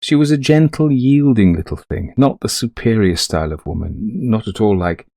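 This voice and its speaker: An actor playing a middle-aged, greying widower in a ghost story, confiding an eerie haunting to a friend in genteel, old-fashioned phrasing. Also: male voice, on the low side, lively intonation, normal speed, vocal fry, mellow, dry recording. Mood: fond, reminiscent. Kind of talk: dramatised first-person ghost story narration.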